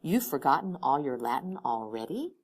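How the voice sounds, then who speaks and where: A woman voicing an unflappable, authoritative female chief executive with a dry, brisk manner in an audiobook. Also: a bass voice, very animated, heavily acted.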